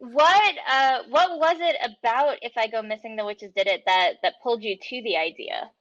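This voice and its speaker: A woman's voice: fairly high pitch, normal speed, a bit bright, no echo, highs cut off. A woman hosting a casual online interview, with an enthusiastic, friendly manner. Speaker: interviewer, host.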